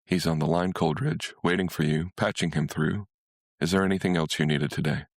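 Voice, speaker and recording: A man's voice: deep voice, steady tone, fairly quick, no echo, studio quality. A man voicing a polite, composed female virtual assistant in a story.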